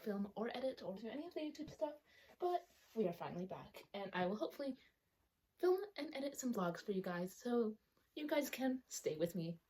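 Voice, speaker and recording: A woman's voice: fairly high pitch, a little mellow, rough recording. A young woman talks casually to camera about her books, rambling and stopping to correct herself. A retainer leaves her speech a little thick. A faint hiss and small clicks sit under the recording. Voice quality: slightly altered by a retainer. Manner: rambling, self-correcting, with many ums. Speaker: book vlogger. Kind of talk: casual chatty vlog. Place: outdoors or by a sunny window at home.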